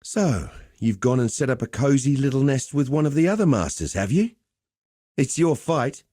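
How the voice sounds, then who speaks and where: A male actor plays a roguish gladiator master from a video game, easygoing and cocky. His mellow voice swings freely in pitch as he teases and goads with wry swagger. The recording is close and dry. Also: expressive, normal speed, dry recording, close to an everyday voice. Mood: sly, casual. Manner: wry, teasing, conversational swagger. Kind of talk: video game character dialogue.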